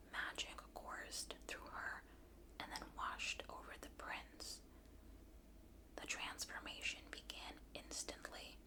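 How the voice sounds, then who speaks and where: A woman whispering a bedtime story at an unhurried pace to someone drifting off to sleep on an ASMR channel. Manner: hushed, slow, soothing whisper. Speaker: ASMR narrator reading a story aloud.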